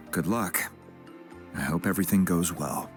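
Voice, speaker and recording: Deep-voiced, helpful tribesman. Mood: gruff goodwill. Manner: measured, reassuring, explanatory. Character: calm, helpful tribesman explaining lore. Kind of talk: English video game voice acting. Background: music.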